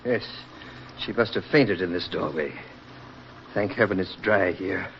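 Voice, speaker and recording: A dull vintage radio recording with clear noise. a level-headed doctor with a deep voice. He is grave and deliberate, acted in 1940s radio drama manner. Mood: grave, puzzled.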